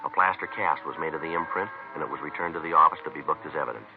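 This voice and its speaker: A stoic American police detective in an old radio crime drama, with a very deep voice and terse, matter-of-fact delivery. He moves briskly through the facts, heard through a muffled, noisy vintage recording. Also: music in the background. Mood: flat, factual. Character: stoic, clipped male police detective who narrates the case. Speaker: narrator and lead detective. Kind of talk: 1950s radio crime drama acting and scripted narration.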